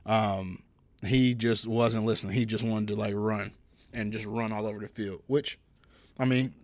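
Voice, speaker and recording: A young man co-hosting a podcast about fatherhood, talking casually about his toddler in an easygoing, halting way with many restarts. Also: lowish voice, fairly even intonation, dry recording. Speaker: podcast co-host. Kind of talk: casual chat.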